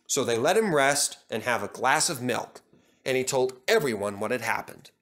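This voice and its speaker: A man's voice: medium pitch, normal speed, fairly bright tone. A man reading a scripted children's story as its storyteller.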